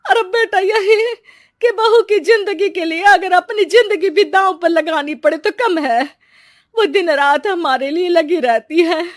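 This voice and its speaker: Woman doing old devoted mom, teary, really high voice, cartoon story. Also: measured pace. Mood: tearful devotion. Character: elderly devoted mother, tearful and self-sacrificing.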